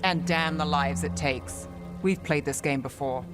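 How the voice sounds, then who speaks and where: A woman's voice: low-pitched, a little slow, loud music behind the voice. A woman playing a cool, cynical detective in a fantasy video game, speaking tersely with dry skepticism. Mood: accusing. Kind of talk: restrained video game drama acting.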